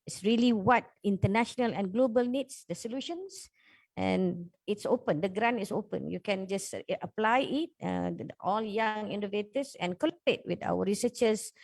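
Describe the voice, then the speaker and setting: A woman from Malaysia speaking on a forum panel, earnest and halting as she lays out funding opportunities. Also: mid-range voice, gravelly, a little mellow, no echo. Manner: earnest, with hesitations and restarts. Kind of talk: panel discussion.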